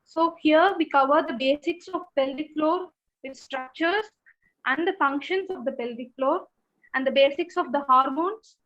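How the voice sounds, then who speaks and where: An Indian English woman with a high, light voice gives a steady webinar lecture over a video call. The sound is dull and muffled. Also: normal speed. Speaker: consultant physiotherapist.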